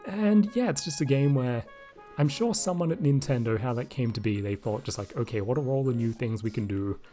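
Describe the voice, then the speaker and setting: A man with a slightly raspy voice, casually commenting over gameplay into a desk microphone and thinking aloud with lots of asides. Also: mid-range voice, fairly quick, dark tone, faint music behind. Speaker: video game commentator. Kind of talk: casual let's-play commentary.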